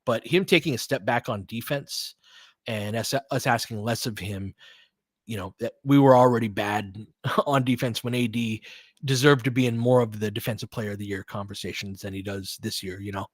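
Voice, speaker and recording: A man's voice: on the low side, normal speed, no room sound. Man casually commentating live basketball, dry close sound. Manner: conversational, thinking aloud in fragments. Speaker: basketball play-by-play host and fan commentator. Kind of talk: casual live game commentary and fan analysis.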